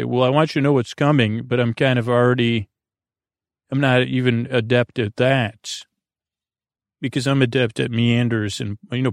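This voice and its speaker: A man with a gravelly, creaky voice at a middling pitch, recorded dry and close. He hosts a bedtime podcast, talking straight to the listener in a whimsical, wandering monologue. His sentences trail into fragments and hesitant detours. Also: no room sound. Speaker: host of a bedtime sleep podcast. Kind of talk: rambling, off-topic monologue to the listener.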